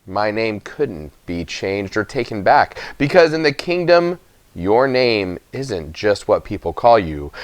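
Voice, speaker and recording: Animated, low-voiced man reading a storybook. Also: no echo. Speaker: story reader. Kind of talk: expressive audiobook narration for children.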